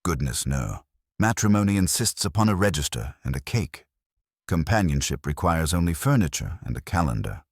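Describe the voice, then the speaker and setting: Guy reading as prim older lady, dry and amused, precise, husky voice that swings up and down. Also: rumbling low, very animated, normal speed, no echo, heavily acted. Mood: confiding, wry. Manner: crisp, precise, dryly pleasant. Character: prim, shrewd older genteel woman, coolly amused.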